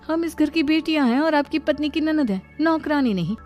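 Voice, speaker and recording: A woman's voice: high voice, expressive, fairly quick, darkish tone, faint music behind. A woman playing an outspoken, earnest young sister in a family story. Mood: indignant. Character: indignant, outspoken young unmarried sister of the household.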